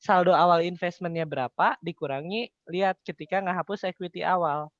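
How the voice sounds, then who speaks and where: A man's voice: high voice, steady tone, a little fast, no room sound, lo-fi sound. A young man tutoring informally, explaining step by step and often asking questions he then answers. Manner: poses questions then answers them, colloquial. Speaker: accounting tutor.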